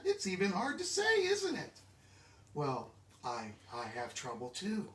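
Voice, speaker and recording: Echoey, high-pitched man reading to kids. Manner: expressive, interactive, asks listeners questions. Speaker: children's book reader. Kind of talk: animated children's storybook read-aloud. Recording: echoey room, distant mic.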